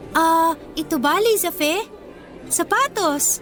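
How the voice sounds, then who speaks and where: A woman's voice: extremely high pitch, slow, over music. A Filipino woman playing a poor, proud and kind schoolgirl in an emotional, earnest radio drama style. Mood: defiant.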